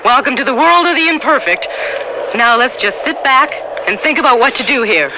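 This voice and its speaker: A woman's voice: high-pitched, lively intonation, fairly quick, noisy background. A woman playing a wry, capable aunt who narrates a radio adventure drama. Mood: wry, firm. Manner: wry, authoritative.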